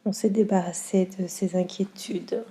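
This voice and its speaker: A woman guiding a yoga nidra meditation in a calm, soothing, unhurried way with long pauses. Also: mid-range voice. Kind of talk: slow guided meditation.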